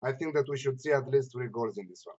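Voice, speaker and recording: A man's voice: medium pitch, fast, a little mellow. A man giving casual, opinionated betting analysis in non-native English, emphatic and prone to repeating himself. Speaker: sports betting pundit. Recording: slightly dull lo-fi audio.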